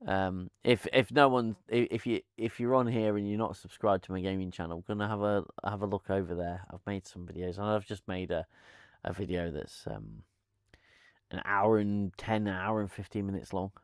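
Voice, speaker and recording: A man's voice: low voice, moderate pace, smooth, dry recording, clean studio sound. A man hosting a casual live-stream chat, rambling and laughing with many restarts, on a lavalier mic at home. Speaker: channel host.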